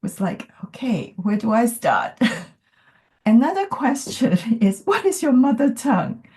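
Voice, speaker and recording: A woman from Hong Kong, whose first language is not English, speaking in a thoughtful podcast conversation with many pauses and fillers. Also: fairly high pitch, huge pitch swings, mellow. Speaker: podcast guest. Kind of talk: reflective conversation.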